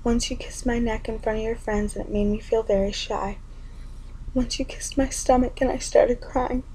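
A young woman performing spoken word poetry in an intimate, often whispered delivery with a gravelly edge. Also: fairly high pitch, steady tone, normal speed, dark tone, a little hiss or hum, rough recording.

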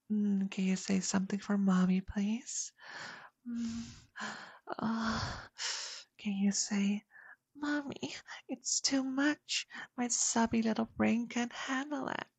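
A woman speaking in a soft, coaxing whisper for an intimate audio roleplay, close to the mic. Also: mid-range voice, vocal fry, slightly rough, no echo, lo-fi sound.

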